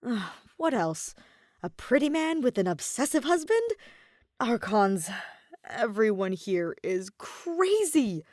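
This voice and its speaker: A woman reading fiction aloud as an expressive scripted storyteller. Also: fairly high pitch, slow, no echo, clean studio sound. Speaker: podfic reader.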